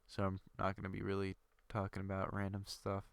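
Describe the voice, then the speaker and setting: A man's voice: low-pitched, monotone, brisk, gravelly, smooth, dry recording, clean studio sound. A young man with a stuffy nose from a cold, rambling and thinking aloud with lots of fillers while commenting on his game. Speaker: gaming video creator. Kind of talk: casual gameplay commentary.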